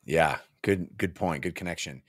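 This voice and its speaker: A man's voice: low voice, moderate pace, no echo, clean studio sound. A man in his forties co-hosting a book club show, recapping a story descriptively in casual chat and sometimes acting out a character's line. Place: home office, on a video call. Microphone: desk microphone, close.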